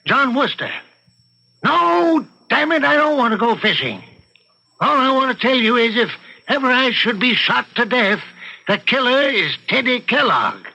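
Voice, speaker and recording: An actor playing a stern, suspicious, pedantic older husband of means in an old-time radio mystery. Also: male voice, very high-pitched, big pitch swings, measured pace, gravelly, pushed and bright, muffled recording, heavily acted. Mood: indignant, insistent.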